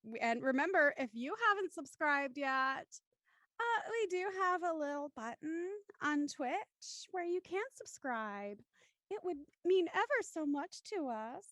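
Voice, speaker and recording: A woman with a fairly high voice hosts a live script reading and reads out directions. Her phrasing is polite and formal, and the sound is dry and close. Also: expressive, unhurried, a bit bright, no room sound. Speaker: host and stage-direction reader of live show. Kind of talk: live stream hosting and script narration.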